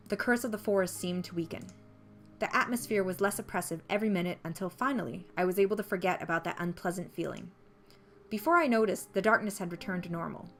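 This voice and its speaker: A woman's voice: medium pitch, a bit bright, a little hiss or hum. A woman narrating a visual novel playthrough, reading the first-person story text aloud.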